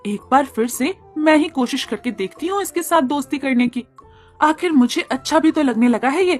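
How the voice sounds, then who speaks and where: A woman voicing a gentle, friendly young cow in a cartoon story, with a pleading, coaxing manner. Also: sits high, lively intonation, moderate pace, soft background music. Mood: thoughtful, hopeful.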